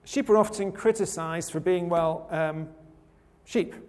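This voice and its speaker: Man from rural Scotland, high voice, conversational sermon, gentle humor, long hall echo. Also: measured pace. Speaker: preacher.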